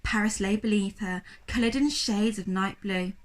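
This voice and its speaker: A woman reading a children's story aloud like a primary school teacher, with expressive, dramatic narration. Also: medium pitch, normal speed.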